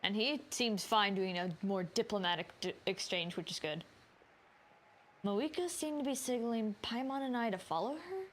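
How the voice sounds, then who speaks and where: A woman's voice: medium pitch, normal speed. A woman streamer giving casual commentary as she plays a video game. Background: faint game music.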